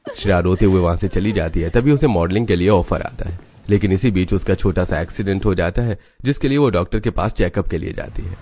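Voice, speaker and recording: A man's voice: sits low, a little fast, dark tone, a little hiss or hum. A man narrating a scripted family story for a cartoon.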